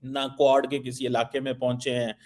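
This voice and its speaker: A man's voice: medium pitch, level tone, normal speed, darkish tone. A man from Pakistan, hosting a political talk show and needling his guest with sarcastic questions. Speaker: host.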